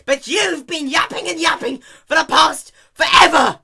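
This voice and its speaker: A man voicing an exasperated, hot-tempered young female cartoon pegasus, whiny and dramatic, with shouting. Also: extremely high pitch, moderate pace, gravelly, pitched above the performer's own voice, exaggerated voice.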